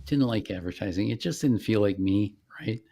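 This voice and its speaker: A man's voice: lowish voice, normal speed, gravelly, dark tone. A middle-aged man, a company founder, chatting casually as an interview guest and explaining things in a plain-spoken, informal way.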